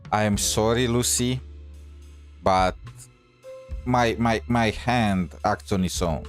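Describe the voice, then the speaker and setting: Low-voiced man commenting on gameplay. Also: faint music behind. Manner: hesitant, halting, joking. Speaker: gaming streamer.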